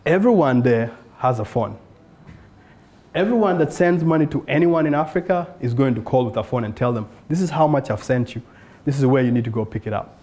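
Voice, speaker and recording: A man from South Sudan, a startup founder, giving an earnest pitch talk to an audience at an evening event. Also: dark tone. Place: event room in front of an audience.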